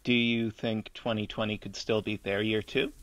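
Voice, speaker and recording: A man's voice: lowish voice, little pitch movement, normal speed, a bit bright, no echo, natural voice. Man playing a dry-sounding, curious radio interviewer. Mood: curious, gentle. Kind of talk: radio play acting, conversational interview.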